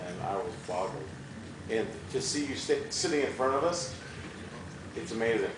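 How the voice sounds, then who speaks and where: A young man in a movie theatre audience asking an admiring, effusive question at a live Q&A. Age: young adult. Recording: boomy echoing theatre, noisy, distant.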